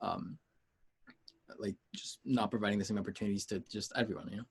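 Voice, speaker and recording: Halting, low-voiced man in casual conversation. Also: steady tone, creaky, husky. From United States, Peruvian heritage. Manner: informal, rambling, halting as he gathers thoughts. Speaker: interview guest, music artist. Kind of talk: casual interview chat.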